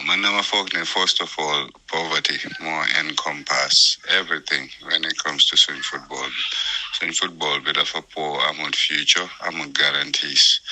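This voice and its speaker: A man's voice: rumbling low, pushed and bright, low-quality recording. A man with a Gambian accent explaining his view in a casual discussion, switching between two languages. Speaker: panel discussion participant. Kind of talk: casual discussion, mixing English and Wolof. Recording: faint background noise, radio-like sound.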